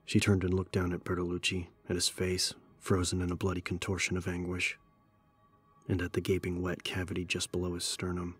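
A man's voice: low voice, completely flat delivery, brisk, husky. A man narrating a horror audiobook in a scripted, dramatic style. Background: background music.

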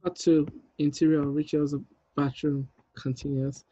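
A man's voice: on the high side, flat delivery, a little slow, dark tone, no room sound. A man in his thirties directing a script read in a casual way over a video call. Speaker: director reading a screenplay at a table read. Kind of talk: casual script reading and notes. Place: indoor room on a video call. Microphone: video call with earpiece. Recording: dull video-call audio.

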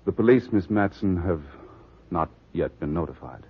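Low husky man, suave wealthy client, measured and formal, muffled 1940s radio drama. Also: animated. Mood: uneasy, grave. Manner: measured, formal, smooth-talking. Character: suave, flirtatious, uneasy wealthy male client.

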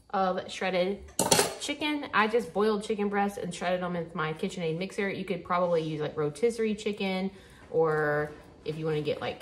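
A woman's voice: lowish voice, slight background noise. A woman hosting a home cooking video, chatting casually as she works at her kitchen counter. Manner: chatty, relaxed, talks while working with hands. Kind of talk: casual cook-along instruction. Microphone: overhead camera framing the counter. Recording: kitchen clatter of dishes and pans. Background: clinking dishes and pans.

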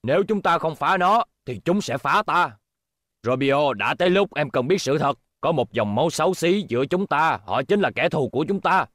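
A man dubbing a tough, grim older-brother robot in a cartoon, forceful and ominous. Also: on the high side, expressive, normal speed, no room sound, studio quality. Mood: grim, resolute.

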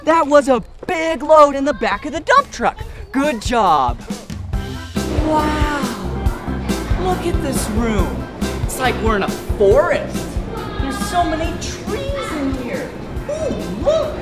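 A man's voice: very high voice, sing-song, takes it slowly. A man presenting playfully for toddlers, exclaiming in an exaggerated, wide-eyed way and drawing out words. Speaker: children's show host. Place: indoor and outdoor play areas of a children's museum. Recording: music behind the voice.

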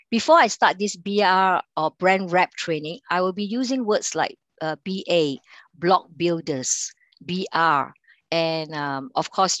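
A middle-aged woman from Singapore with a Singaporean accent, running an online sales training over a video call, talking haltingly with many fillers and restarts. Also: on the low side, a bit bright, no echo. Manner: halting delivery with frequent fillers and restarts, quizzing the audience. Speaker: account manager and sales trainer.

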